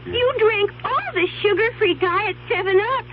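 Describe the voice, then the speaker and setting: A woman's voice: very high-pitched, moderate pace. A woman voicing a prissy, whiny storybook girl in a comic radio commercial. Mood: whiny, indignant. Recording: tinny, noisy old radio broadcast.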